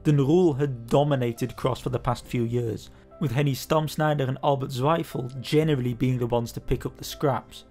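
Man narrating over soft music. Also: medium pitch. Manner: steady, measured storytelling delivery. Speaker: cycling history narrator. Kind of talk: scripted documentary narration. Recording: voice-over with faint background music.

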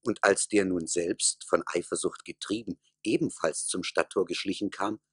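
A man's voice: sits low, fairly even intonation, normal speed, a bit bright, breathy edge, no echo, studio quality. A man narrating a satirical folk tale as the storyteller in a scripted radio play.

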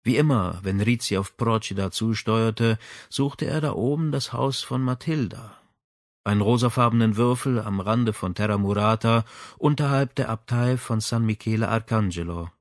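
A man's voice: lowish voice, normal speed, dry recording, clean studio sound. A man reading a crime novel aloud in a scripted narration style. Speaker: audiobook narrator.

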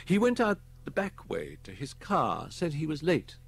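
Unwell British office man male narrator's portrayal, hesitant, high, husky, drawn-out delivery, audiobook. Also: wildly swooping pitch, heavily acted. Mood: uneasy. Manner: halting, drawn-out. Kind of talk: audiobook character voice.

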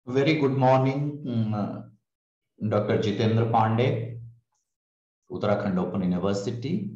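Man, formal welcome, low voice, roomy, dull sound. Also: dark tone. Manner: slow, formal greetings. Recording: roomy, dull lo-fi call audio.

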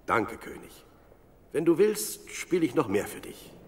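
An actor playing a modest young shepherd musician, polite and earnest, in a Bible audio drama. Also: male voice, a little high, sing-song, measured pace, darkish tone, slightly rough, full character voice. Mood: eager. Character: young shepherd harpist, modest, eager to please.

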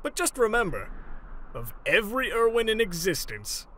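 A man voicing a big, earnest, stubborn heroic mentor in a comic dub, deliberate and declamatory, over music. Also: high-pitched, very animated, fairly bright tone, a little hiss or hum. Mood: triumphant defiance. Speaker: voice actor in a fan comic dub. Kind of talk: dramatic comic dub acting. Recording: prominent music behind the voice.

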